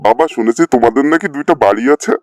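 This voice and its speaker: A man's voice: mid-range voice, expressive, rapid-fire, slight background noise, natural voice. A man voicing a middle-aged father of a bride in a comedy cartoon, avuncular and given to repeating his words. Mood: genial, curious. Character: middle-aged father of a bride, genial but easily outraged.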